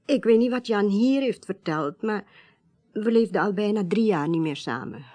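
Hesitant, mellow-voiced widow played by an actress. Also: female voice, medium pitch, animated, natural voice. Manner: hesitant, halting, polite. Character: estranged widow, reserved but insistent, seeking answers. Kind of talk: naturalistic Dutch radio drama acting.

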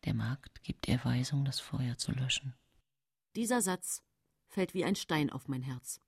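An actress playing an accused woman poisoner, secretive, calculating and haunted, in a hushed, confiding radio drama monologue. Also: very deep voice, lively intonation, a little slow, vocal fry, husky, full character voice. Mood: hushed dread.

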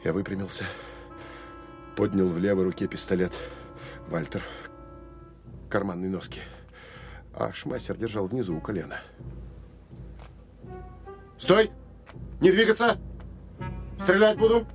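An actor playing a hardened, sardonic counterintelligence officer who tells of an armed pursuit in an intense, expressive first-person audio drama. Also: male voice, lowish voice, measured pace, pauses often, soft background music. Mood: tense, controlled, then shouted command. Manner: intense, expressive storytelling with wry asides.

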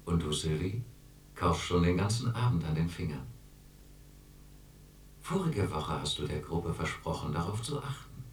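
A man's voice: low voice, expressive, normal speed, long pauses, a little hiss or hum. An actor playing a domineering group therapist in a radio drama, pressing and condescending as he questions. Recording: roomy, distant mic.

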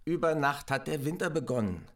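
A man's voice: a little high, animated, fairly quick. Dry, distant-sounding male engineer. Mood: dry, insistent. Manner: dry, instructing. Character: dry, unflappable male engineer rousing his helper for work. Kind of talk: German radio drama acting. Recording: voice distant from the microphone.

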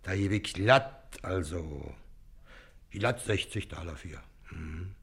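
A man's voice: deep voice, lively intonation, slow pace, gravelly, a bit bright, husky, heavily acted. An actor playing a wheedling, middle-aged river boatman and shady dealer in a radio play, speaking colloquial Berlin dialect. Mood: covetous. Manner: colloquial, grumbling, insistent bargaining.

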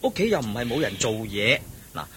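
Dutiful grown son, bright forceful voice. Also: male voice, very animated, a little fast. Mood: concerned. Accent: Hong Kong Cantonese. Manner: soothing, earnest. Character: dutiful, patient grown son of a rich household. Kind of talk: Cantonese Buddhist radio drama acting. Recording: old radio drama with faint rumble.

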